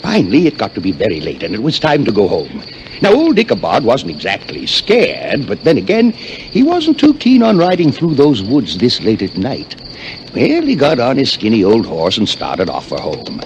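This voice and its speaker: A man narrating a spooky tale in a folksy storytelling style, with dramatic pauses and emphasis. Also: medium pitch, wildly swooping pitch, a little mellow, lo-fi sound. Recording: noisy old flexi-disc recording.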